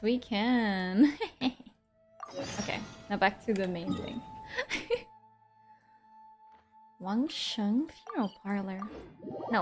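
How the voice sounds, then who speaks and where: A woman streamer with a mid-range voice gives unhurried, chatty commentary while playing. She exclaims and laughs often, with soft background music under her. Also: medium pitch. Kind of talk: casual gameplay commentary.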